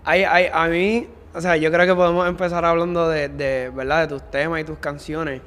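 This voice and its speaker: Man, Puerto Rican accent, slightly high pitch, interview host, casual, slangy, interjecting. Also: flat delivery, moderate pace, a bit bright, clear, resonant tone.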